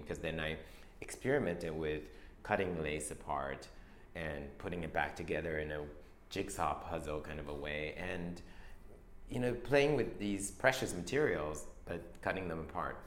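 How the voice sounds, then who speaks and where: A young man from Taiwan, a fashion designer, talking about his work in a soft, hesitant way full of restarts. His voice sits very low, and the room around him is echoey with a faint hum of ambience. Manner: soft-spoken, halting, many restarts and fillers. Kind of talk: interview answers.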